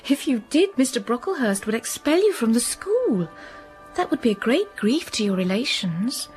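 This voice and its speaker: A gentle patient schoolgirl, higher voice, faint music behind. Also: very animated, normal speed. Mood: calm. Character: patient pious young girl pupil Helen. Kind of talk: radio drama acting.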